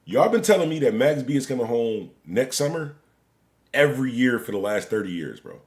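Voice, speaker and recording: Animated man in his forties, rambling. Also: mid-range voice, brisk. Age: 40s. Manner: rambling, joking, repeats himself; "bro" and "gang" at line ends. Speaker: stream host. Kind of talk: casual live-stream commentary.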